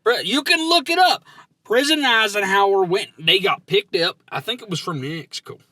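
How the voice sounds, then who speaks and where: Man playing an excitable high-pitched country simpleton. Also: brisk, dry recording. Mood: excited, explaining. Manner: folksy, rambling, stumbling explanations. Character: excitable, slow-witted country man, eager conspiracy theorist. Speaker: podcast co-host. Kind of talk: comedic podcast banter in character.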